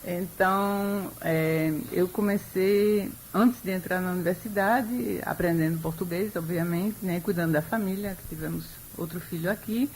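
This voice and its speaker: An older woman historian, being interviewed for a radio program. She speaks in a measured, thoughtful way, pausing often to find her words. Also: on the low side, darkish tone, slight background noise, poor audio. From Europe. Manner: measured, thoughtful, with frequent hesitations.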